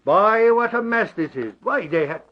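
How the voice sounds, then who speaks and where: An actor playing an excitable, blustering newsroom sidekick in an old-time radio drama. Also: male voice, sits high, moderate pace, slight background noise. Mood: agitated. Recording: muffled old radio recording.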